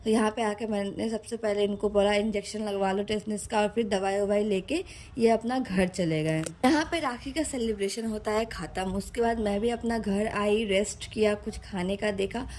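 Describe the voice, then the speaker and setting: A young woman narrates a casual vlog voice-over in a chatty, informal way, mixing in English words, her throat slightly hoarse and tired. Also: mid-range voice, normal speed, darkish tone, slight background noise. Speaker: vlogger.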